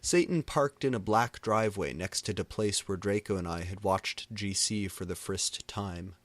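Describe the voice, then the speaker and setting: A man narrating comic fan fiction as a deadpan dramatic reading. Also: lowish voice, moderate pace, no echo.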